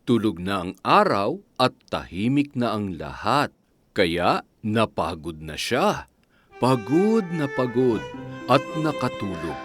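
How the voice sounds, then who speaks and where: A man playing a patient parent in a children's cartoon, telling bedtime stories with an expressive storybook delivery. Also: lowish voice. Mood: sleepy, soothing. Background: music.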